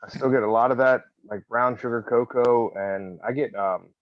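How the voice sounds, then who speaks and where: A man's voice: mid-range voice, steady tone, normal speed, dry recording. A man guest describing his tasting notes plainly, thinking aloud as he goes. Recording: dull dry lo-fi sound.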